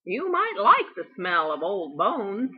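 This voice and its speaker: A woman's voice: mid-range voice, wildly swooping pitch, slow, slightly rough, narrow, tinny audio, heavily acted. A woman voicing a fussy but generous goose in a children's storybook, speaking plainly and emphatically.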